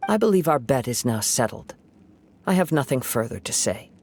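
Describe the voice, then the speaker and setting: An actor playing a calm, gentle young wandering swordsman in a video game, speaking in a measured, poetic, solemn way. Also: medium pitch, a little hiss or hum.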